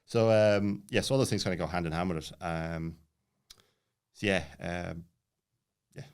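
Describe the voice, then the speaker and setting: A man's voice: low-pitched, normal speed, a little mellow, clear, resonant tone. A man in his late thirties telling a personal story casually, rambling and jumping around with lots of filler words. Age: late 30s. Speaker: podcast guest.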